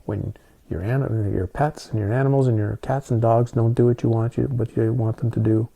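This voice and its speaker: A middle-aged man talking calmly and soothingly in a reflective monologue, often restarting his sentences. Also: medium pitch, normal speed, vocal fry. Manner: calm, soothing delivery with frequent restarts. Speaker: spiritual talk host.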